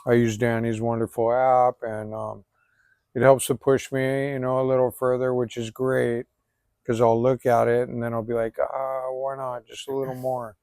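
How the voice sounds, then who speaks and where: Laid back hawaii guy in his 40s, smooth clear voice, pretty flat delivery. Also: medium pitch, moderate pace, no echo, studio quality. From Hawaii, Oahu. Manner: relaxed, even-toned, filler-heavy. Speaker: guest, tattoo artist and swimmer. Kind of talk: casual outdoor interview. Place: outdoors, in the rain after a swim.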